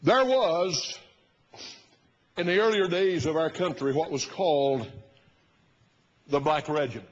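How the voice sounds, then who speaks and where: Male pastor, 60s, husky, emphatic pulpit oratory, muffled recording in a reverberant sanctuary. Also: measured pace, pauses often. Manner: emphatic, oratorical, rising to loud bursts and dropping low. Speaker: pastor preaching. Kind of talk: fiery sermon. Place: large church sanctuary, at the pulpit platform.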